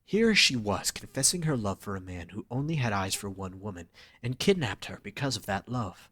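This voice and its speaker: A man reads dramatic scripted narration in a somewhat low voice. It has a raspy grain and a bright, forceful edge. The sound is dry and close. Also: expressive, normal speed, dry recording.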